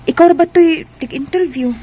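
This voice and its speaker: An actress playing a friendly, concerned office coworker in a radio drama, chatty and husky. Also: female voice, extremely high pitch, fairly quick, dark tone, dull audio.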